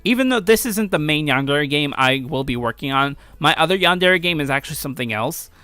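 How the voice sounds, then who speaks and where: A man's voice: on the high side, animated, fairly quick, pushed and bright, dry recording. A man giving casual, slangy commentary as a game developer playing through his own game. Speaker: video creator and game developer.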